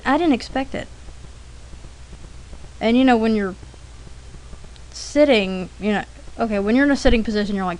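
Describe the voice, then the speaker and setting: A woman casually telling stories as she narrates an art demonstration, unscripted and rambling, with halting self-corrections. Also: fairly high pitch. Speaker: artist narrating a drawing demonstration. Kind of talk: casual unscripted storytelling over an art demo. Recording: clearly noisy homemade recording.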